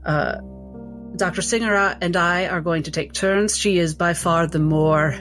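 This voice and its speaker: Woman playing a slow, formal lady doctor. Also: fairly low pitch, a bit bright, soft background music. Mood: formal lecturing. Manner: formal, deliberate, hesitant teaching tone. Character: formal female doctor lecturing, polite, precise, halting.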